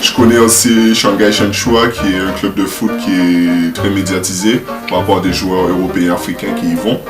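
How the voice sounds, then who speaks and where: A man from Guadeloupe with a lowish voice answers with hesitations. The sound is roomy, with clear music playing behind. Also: steady tone, a little fast. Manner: hesitant, with fillers. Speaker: interviewee. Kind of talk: street interview answers.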